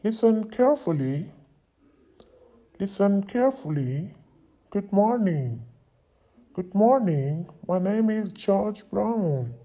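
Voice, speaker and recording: A man in his twenties voicing a young London solicitor who introduces himself, saying each sentence twice slowly for learners to repeat. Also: high-pitched, animated, warm tone, highs cut off, exaggerated voice. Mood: plain. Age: 20s. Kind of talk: slow repeat-after-me drill reading.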